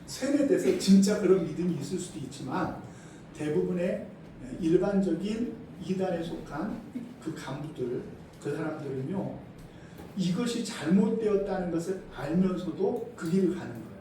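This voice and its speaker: A middle-aged Korean man, a senior pastor, preaching a sermon, asking the congregation questions and swinging between loud emphasis and quiet asides. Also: high voice, animated, normal speed. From South Korea. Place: church sanctuary. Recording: echoey hall from far off, faint noise.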